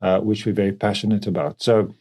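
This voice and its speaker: South African man, middle-aged, mellow relaxed voice, business co-founder talking reflectively on a podcast. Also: on the low side, fairly quick. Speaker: company co-founder and podcast producer.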